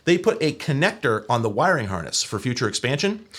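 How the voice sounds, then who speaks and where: Male video essayist, mid-range voice, expressive melody, wry scripted delivery with strong emphasis and opinions. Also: mid-range voice, brisk. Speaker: video essay host and narrator. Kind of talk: scripted tech history narration.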